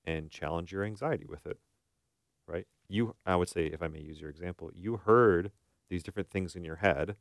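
A man's voice: sits low, brisk, dry recording, clean studio sound. A man co-hosting a conversational podcast, explaining things in an informal, illustrative way. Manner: explains through analogies and acted-out quotes. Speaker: podcast co-host and therapist.

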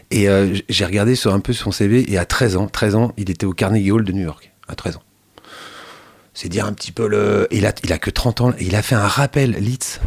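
A man's voice: on the low side, a little mellow, husky. A man hosting a radio show, talking in a loose, rambling way with many fillers and restarts. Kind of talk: casual radio chat.

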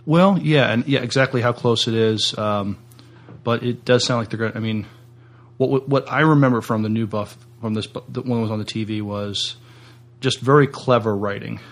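A middle-aged man co-hosting a podcast in casual, halting discussion, often repeating words. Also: medium pitch. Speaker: podcast co-host.

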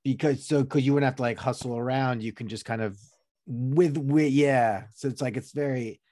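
A man's voice: medium pitch, moderate pace, warm tone. A man from Long Island hosting a casual conversation, rambling and breaking off his own sentences, often laughing. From Long Island, New York.

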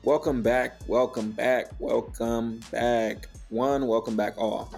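A man hosting a faith podcast, speaking in a relaxed, casual way with frequent fillers. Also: medium pitch, slow pace, gravelly, faint music behind. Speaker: podcast host.

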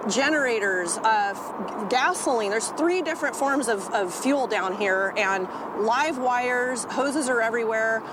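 A woman interviewed for a news report lists hazards in an alarmed way. Her somewhat high voice is recorded outdoors, with clear noise all around her. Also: unhurried. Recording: noisy outdoor news clip.

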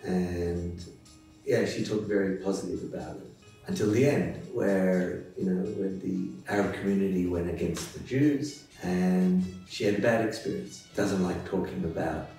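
Australian guy, middle-aged, low and mellow, relaxed storyteller; roomy, distant sound. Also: moderate pace. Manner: easygoing, chuckles now and then, many fillers and restarts. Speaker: interview guest. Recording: echoey room, distant mic, faint music.

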